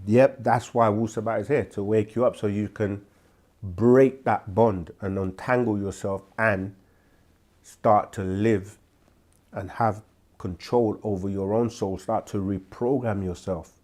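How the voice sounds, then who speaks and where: A man with a low, slightly congested voice who speaks very slowly and deliberately. He has a sore throat and often restarts his sentences as he answers viewers' questions on a live stream. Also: fairly even intonation, darkish tone. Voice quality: slow, deliberate delivery with frequent restarts. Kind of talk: livestream Q&A teaching.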